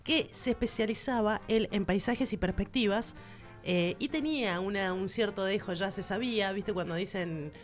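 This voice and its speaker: A woman's voice: mid-range voice, moderate pace, faint music behind. A woman with a Rioplatense accent hosting a casual art-history segment, chatty and emphatic, speaking straight to the listener. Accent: Rioplatense Spanish. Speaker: culture segment host.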